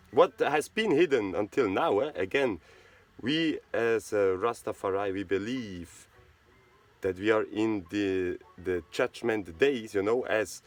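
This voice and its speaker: Low-voiced man talking haltingly. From Switzerland. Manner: halting, searching for words, many fillers and restarts. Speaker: host of a charity video channel. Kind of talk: casual spoken monologue.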